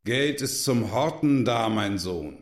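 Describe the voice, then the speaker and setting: A man's voice: mid-range voice, animated, a little hiss or hum. A middle-aged actor playing a stern, joyless, miserly lawyer father who admonishes in a radio play. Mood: stern, admonishing. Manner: slow, admonishing.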